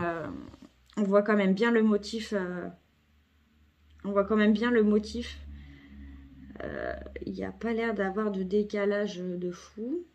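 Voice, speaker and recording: A woman's voice: mid-range voice, creaky. A woman with a cold chatting casually through a craft unboxing at home, hesitant, with many fillers and self-corrections. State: has a cold. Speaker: craft vlogger.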